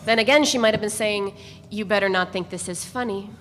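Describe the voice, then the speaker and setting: A woman's voice: mid-range voice, a little fast, fairly bright tone. A woman narrating a literary novel in a scripted dramatic reading. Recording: reverberant hall with background noise.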